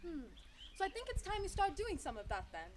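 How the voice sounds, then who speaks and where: Storybook elephant mentor, played by a woman: high voice, kindly, wise, thoughtful, faint noise behind. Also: wildly swooping pitch, fairly quick, exaggerated voice. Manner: thoughtful, gently questioning, encouraging. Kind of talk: children's story dialogue.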